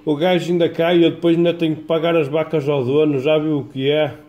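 A man's voice: on the high side, little pitch movement, a little fast, lo-fi sound. A man chatting casually over the radio in a colloquial, folksy way. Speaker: amateur CB radio operator. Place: small home radio shack. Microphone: phone filming the radio, off-camera speaker. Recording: clear background noise, home-made phone recording.

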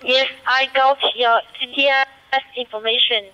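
A woman's voice: high voice, fairly even intonation, pushed and bright, clean tone, muffled recording. A woman from China with a Chinese accent, speaking hesitantly over a handheld two-way radio. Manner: hesitant, halting English. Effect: handheld radio transmission. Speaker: radio company representative. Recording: radio speaker picked up with noise.

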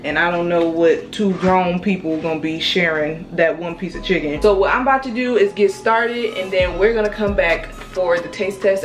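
A woman's voice: medium pitch, reverberant. A young woman in her twenties chatting to viewers while cooking at home, emphatic and casual, calling them y'all. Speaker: vlogger. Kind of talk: casual cook-with-me vlog. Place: small apartment kitchen.

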